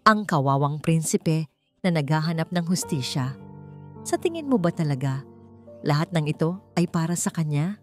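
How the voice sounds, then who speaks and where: A woman narrator puts on a cold villainess from an audio drama. She is calm, condescending and cruel, taunting over faint music. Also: sits low, normal speed. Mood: false pity.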